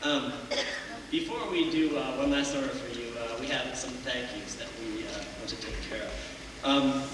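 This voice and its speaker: A young college singer giving halting onstage thanks, with many ums and uhs. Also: male voice, mid-range voice. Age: young adult. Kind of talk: casual onstage speech. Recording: echoey hall, mic far off, loud noise.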